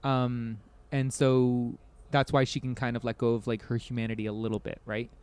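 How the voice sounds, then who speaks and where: A man's voice: mid-range voice, flat delivery, moderate pace, a little mellow, smooth. A man co-hosting a podcast in casual discussion, talking in conversational, looping, hedged thoughts. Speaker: podcast co-host. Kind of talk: casual video game discussion.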